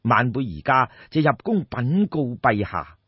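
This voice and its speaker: A man's voice: medium pitch, sing-song, a little slow, breathy edge. A man with a Cantonese accent playing a respectful, formal minister of state in an audiobook. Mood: courteous, commanding. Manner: formal, courteous. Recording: dull, roomy lo-fi recording.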